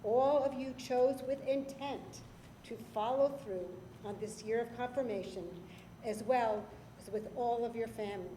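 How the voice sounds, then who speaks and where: Woman temple president congratulating the kids, mellow voice, echoey room. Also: a little high, steady tone, a little slow. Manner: warm congratulatory address. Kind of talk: speech at a service. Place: synagogue sanctuary. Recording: echoey sanctuary with faint noise.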